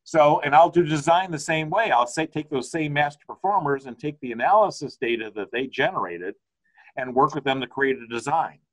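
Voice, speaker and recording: A man's voice: fairly high pitch, brisk, a bit bright, rough recording. Older American male consultant on a video call, rambling and conversational, with a home-study webcam sound. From United States. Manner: rambling, conversational with frequent restarts.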